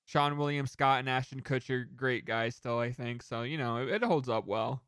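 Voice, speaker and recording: A man's voice: medium pitch, normal speed, fairly bright tone, clear, resonant tone. A man co-hosting a podcast, chatting casually about movies, joking and swearing freely. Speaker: podcast co-host.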